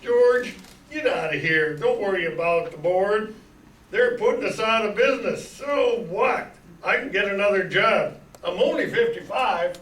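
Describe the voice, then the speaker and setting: An older man playing a folksy, excitable small-town uncle in an amateur radio play, flustered and repetitive. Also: high voice, a little slow, slight background noise. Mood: resigned bravado. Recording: echoey room, distant mic, audience sounds.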